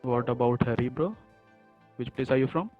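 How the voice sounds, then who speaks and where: A man's voice: mid-range voice, normal speed, soft background music. A man from Bihar in a student group who talks casually and calls the others "bro". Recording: dull lo-fi audio.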